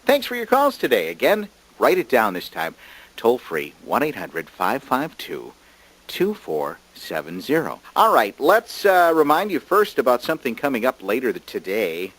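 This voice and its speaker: A man's voice: medium pitch, rough recording. A man hosting a children's morning radio show in a playful, jokey way. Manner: animated, jokey. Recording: radio aircheck with music behind. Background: music.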